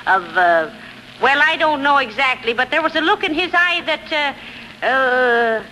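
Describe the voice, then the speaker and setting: An actress playing a sensible, drily teasing wife with a folksy manner in 1940s radio comedy. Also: female voice, sits high, lively intonation, unhurried, bright, forceful tone, full character voice. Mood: dry. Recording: faintly noisy old radio recording.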